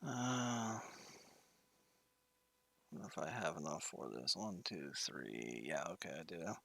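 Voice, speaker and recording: A man's voice: extremely low pitch, sing-song, slow, creaky, sharp tone. A man streaming a game tutorial from his bedroom, thinking aloud with many fillers, his voice getting tired.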